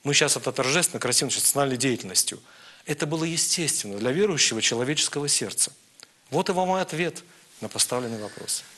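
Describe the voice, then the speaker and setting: A man speaking as a priest on a live programme, answering questions in a measured, earnest, preaching way. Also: medium pitch, lively intonation, pushed and bright. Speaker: priest guest answering viewers' questions on air.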